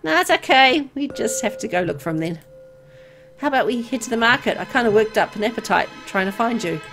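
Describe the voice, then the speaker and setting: A woman's voice: fairly high pitch, expressive, fairly quick, over music. A woman voicing a young game heroine who is wary, a little exasperated and awkward, but kind. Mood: reassuring.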